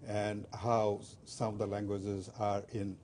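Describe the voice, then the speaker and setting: An older man from Bangladesh, a native Bengali speaker, talks haltingly with frequent "uh"s and restarts. His voice sits fairly low and sounds dark and mellow. A faint low rumble runs under the recording. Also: level tone. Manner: hesitant, many "uh" fillers and restarts. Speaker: interview guest. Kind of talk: community TV interview.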